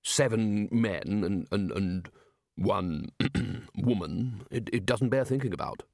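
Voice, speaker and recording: A man voicing an anxious, prim elderly wizard lecturer who stammers haltingly, for a storybook. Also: on the low side, lively intonation, creaky, darkish tone, dry recording, clean studio sound, heavily acted. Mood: awkward. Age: older.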